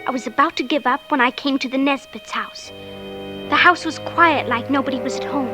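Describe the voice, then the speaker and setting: A high, youthful little girl's voice, acted by a woman, earnest and insistent with a frightened edge. She performs in vintage radio drama style, with faint music behind her. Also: brisk, natural voice. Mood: somber storytelling. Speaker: lead character and narrator. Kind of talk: 1940s-50s radio drama acting. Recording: old radio recording with music.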